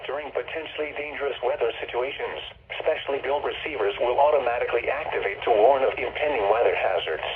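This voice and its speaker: A computer-voiced man reading automated weather radio test notices in a flat, even manner. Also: mid-range voice, quick pace, pauses often. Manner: flat, even synthetic delivery. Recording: muffled radio with loud hiss.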